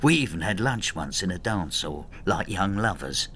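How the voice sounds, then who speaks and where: Radio drama lead: spiteful elderly husband, brooding confessional narration, lively intonation, faint noise behind. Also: male voice, low voice, moderate pace. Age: older. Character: embittered, spiteful old husband narrating his marital war.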